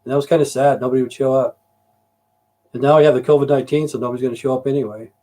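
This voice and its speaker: Rambling profane man with a mellow voice. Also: mid-range voice, steady tone, racing. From Idaho, USA. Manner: profane, rambling, frequent "you know". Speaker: livestream host. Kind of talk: rambling casual livestream chat.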